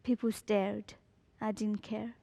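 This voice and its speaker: Teen girl from Burma, student storyteller, mellow tone, unhurried measured delivery. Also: medium pitch, little pitch movement, vocal fry, no room sound. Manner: slow, measured, deliberate reading. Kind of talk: reading a personal story.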